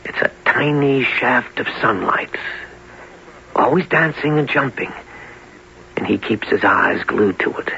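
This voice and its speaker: An intense young man speaks in a bright, urgent voice with big swings of pitch. He is earnest and hesitant, haunted by memories, in old radio drama style on a dull, noisy recording. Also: animated, measured pace, creaky, pauses often, heavily acted. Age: young adult. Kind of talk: 1970s radio drama acting.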